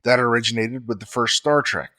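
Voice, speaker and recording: Man explaining facts in measured tones. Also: mid-range voice, sharp tone. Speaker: podcast co-host explaining facts. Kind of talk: scripted explanatory talk.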